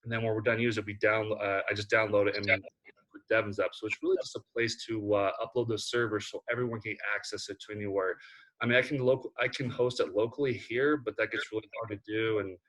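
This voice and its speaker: Halting low-voiced man explaining. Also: steady tone, a bit bright, rough recording. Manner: halting, many fillers and restarts. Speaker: parent running a game server.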